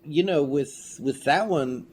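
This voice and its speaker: A man's voice: fairly high pitch, takes it slowly, a little mellow. A man being interviewed as an audio drama creator, telling anecdotes in a casual, rambling way and acting out quotes. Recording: noticeable room background noise.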